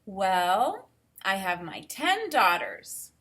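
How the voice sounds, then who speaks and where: A woman's voice: mid-range voice, sing-song, drawn-out words, sharp tone, exaggerated voice. A woman voicing a commanding royal grandmother princess in a children's storybook, giving orders. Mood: reckoning, decisive.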